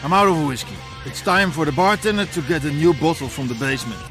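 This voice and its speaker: A man's voice: on the high side, fast talker, over music, lightly acted. A man playing a chatty, tipsy, leering harbour bar local in a video game, talking in simple clipped phrases. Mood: jovial, sly.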